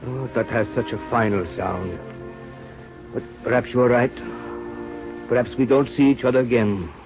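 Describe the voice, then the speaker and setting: An actor playing an aging, earnest scientist mentor with a halting, solemn delivery in a radio drama. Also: male voice, mid-range voice, music in the background, close to an everyday voice. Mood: solemn, fatherly. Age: older. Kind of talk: 1930s radio drama acting. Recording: muffled, noisy old radio recording.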